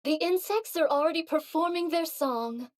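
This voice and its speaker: A woman's voice: very high voice, moderate pace, slight background noise. A voice actress playing a noble female warrior who talks in an old-fashioned, courtly way. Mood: composed.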